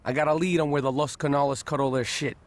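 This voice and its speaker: An actor playing an inquisitive young street gang member in a video game, casual, with an American accent. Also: male voice, on the high side, fairly even intonation, fast, lightly acted. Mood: questioning. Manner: casual, questioning.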